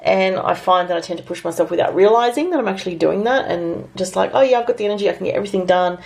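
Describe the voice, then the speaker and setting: An Australian woman with a raspy voice, still recovering from illness, giving a casual weekly astrology and tarot forecast in a rambling, thinking-aloud way. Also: mid-range voice, very fast, darkish tone.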